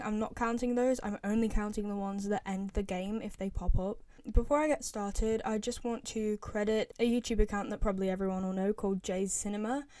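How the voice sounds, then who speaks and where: A woman's voice: mid-range voice, dark tone, dry recording. A young woman giving casual, opinionated video commentary in an informal, emphatic way, repeating herself for emphasis. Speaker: video creator ranking game voice lines.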